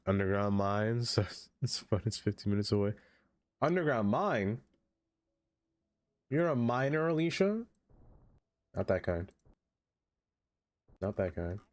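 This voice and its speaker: A man streaming a game in casual livestream chat, with a stuffed-up nose, chatty and excitable as he greets viewers by name. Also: on the low side, slow, pauses often, no room sound, clean studio sound.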